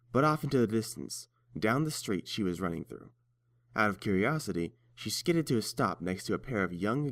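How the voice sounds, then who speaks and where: A man narrates a story from a script in a fairly low voice. The recording is dry and close. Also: no room sound.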